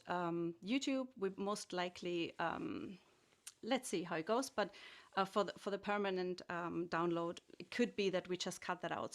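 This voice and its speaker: A woman from Austria, hosting an online meditation event over a video call in a gentle, inviting way, often pausing to rephrase. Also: mid-range voice, creaky. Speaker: host.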